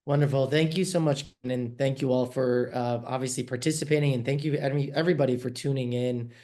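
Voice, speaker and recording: Man, from New York, panel moderator, video call, friendly, halting, mid pitch. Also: fairly even intonation, dark tone. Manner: friendly, with frequent fillers and restarts. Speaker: panel moderator, managing editor. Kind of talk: hosting a virtual panel.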